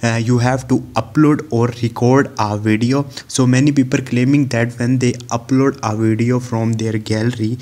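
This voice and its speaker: A man's voice: mid-range voice, fairly even intonation, moderate pace, hardly any pauses, a little mellow. Man narrating a step-by-step tutorial. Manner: instructional, step-by-step. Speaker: tutorial host. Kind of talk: screen-recorded how-to tutorial narration.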